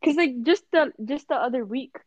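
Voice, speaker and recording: A woman's voice: high voice, normal speed, dry recording, highs cut off. Chatty young woman telling stories. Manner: rambling, full of "like", acts out voices in anecdotes. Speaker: podcast co-host. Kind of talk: casual storytelling chat.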